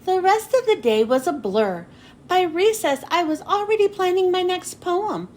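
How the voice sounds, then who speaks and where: A woman narrating a children's storybook expressively, with dramatic pauses and drawn-out phrase endings. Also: very high-pitched, sing-song, a little mellow. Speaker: children's book read-aloud host. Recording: noticeable background noise.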